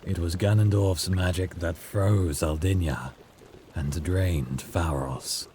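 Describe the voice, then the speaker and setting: A man's voice: sits low, steady tone, slow pace, warm tone, slight background noise. A man playing a grave, solemn bearer of bad news in a fantasy audio drama, speaking formally. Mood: somber.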